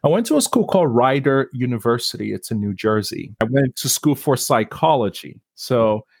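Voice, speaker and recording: A man's voice: mid-range voice, lively intonation, normal speed, vocal fry, husky. A man from Nigeria, a guest on a podcast interview, talking in a friendly, reflective way and laughing easily. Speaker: guest, councillor and author.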